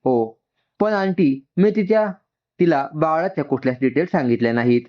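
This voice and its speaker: A man's voice: on the high side, expressive, a little fast, pitched above the performer's own voice, natural voice. Man narrator as young career woman, worried, apologetic, polite, story reading, dull recording. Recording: dull, muffled lo-fi sound.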